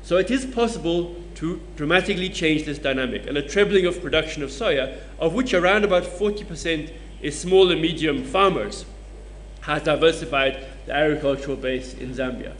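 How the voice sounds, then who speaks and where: A man's voice: on the high side, moderate pace, slight background noise. A man on a conference panel, explaining his points through case studies. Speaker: panelist presenting research.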